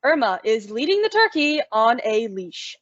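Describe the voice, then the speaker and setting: A woman's voice: a little high, big pitch swings, normal speed, no echo. A woman playing a sensible, exasperated young roommate who also narrates an old-time radio comedy in a wry, bossy way. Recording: dull, lo-fi, dry audio.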